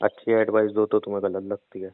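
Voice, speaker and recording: A man's voice: fairly low pitch, flat delivery, fast, no room sound. Teasing young man on a phone line. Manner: teasing, playful. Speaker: boyfriend in comedy phone call. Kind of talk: scripted comedic phone banter. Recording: phone call recording.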